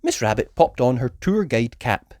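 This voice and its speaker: Very animated woman storyteller. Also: very deep voice, moderate pace, no echo, studio quality. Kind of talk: expressive children's story read aloud.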